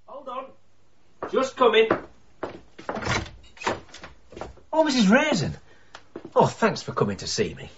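Radio drama: grieving older male widower, homely, wistful, very animated pitch, dull noisy recording. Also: normal speed, heavily acted. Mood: homely, dazed.

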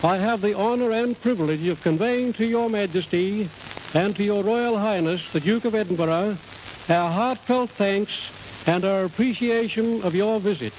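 A man delivering a solemn, deliberate ceremonial speech at an outdoor public event in an oratorical manner. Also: high voice, a little mellow, poor audio. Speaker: education official giving an address. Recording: hissy 1950s 78rpm record.

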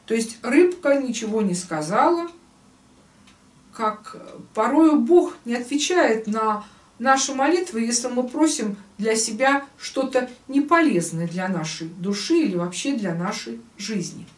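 Woman, 60s, lecturer. Slightly high voice, explains and reads verse expressively, recorded in an echoey room. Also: lively intonation, a little slow. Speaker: lecturer, writer. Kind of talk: online literary lecture with expressive reading aloud. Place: living room at home. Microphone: camera a few metres away. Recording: roomy echo, distant microphone.

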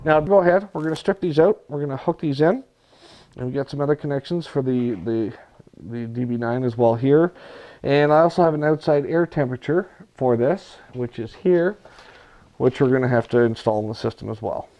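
A man giving hands-on instruction in a workshop, talking viewers through his work in a relaxed, practical way while he works at the bench. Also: mid-range voice, fairly quick. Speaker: aircraft builder demonstrating.

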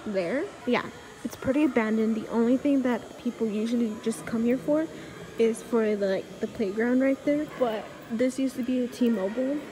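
Young woman chatting into her phone at a mall, kinda high voice, music playing behind. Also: low-quality recording. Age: young adult. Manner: chatty, pleading excitedly while playing. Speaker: vlogger. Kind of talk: casual vlog commentary. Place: large indoor mall. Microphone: phone camera at arm's length.

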